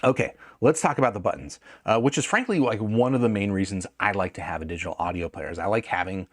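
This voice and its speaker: Low, dry-sounding man reviewing casually. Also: quick pace, no room sound. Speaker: product reviewer and host. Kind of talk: casual unscripted audio gadget review.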